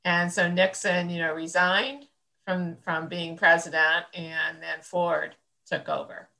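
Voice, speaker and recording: Gravelly, bright-toned woman in her sixties. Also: lowish voice, level tone, slow. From central Illinois, United States. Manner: rambling and thoughtful, with many fillers. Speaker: interview guest. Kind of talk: reflective conversational interview.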